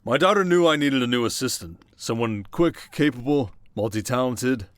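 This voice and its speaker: A man's voice: medium pitch, no room sound, natural voice. Man acting a stern, commanding businessman father. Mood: stern, testing. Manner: measured, commanding, formal. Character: stern, demanding rich businessman father, middle-aged.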